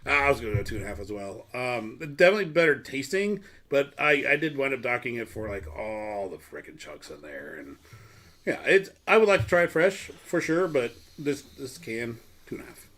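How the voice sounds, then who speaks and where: A man's voice: mid-range voice, sing-song, brisk, a bit bright. A man co-hosting a podcast, chatting casually and informally. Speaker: podcast co-host. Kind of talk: casual chat.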